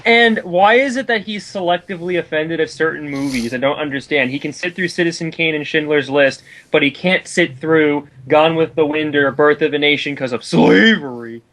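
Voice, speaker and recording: A man giving casual, critical commentary as a guest, with a halting delivery. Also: a little high, fairly bright tone.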